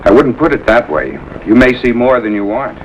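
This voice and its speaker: An actor playing a gruff but friendly American military instructor in a 1950s TV drama. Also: male voice, medium pitch, very animated, brisk, slight background noise, close to an everyday voice. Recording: muffled 1950s TV soundtrack, loud music.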